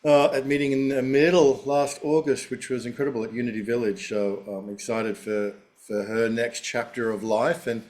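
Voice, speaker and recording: A man greeting the hosts on an online video call, speaking warmly and hesitantly with many fillers. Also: medium pitch, steady tone, normal speed, few pauses. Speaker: event organizer. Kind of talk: casual video call chat.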